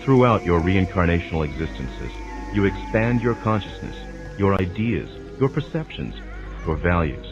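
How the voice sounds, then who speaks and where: A man narrates a scripted, dramatized spiritual teaching in a formal, measured, didactic way. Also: sits low, big pitch swings, muffled recording. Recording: voice over prominent music. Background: music.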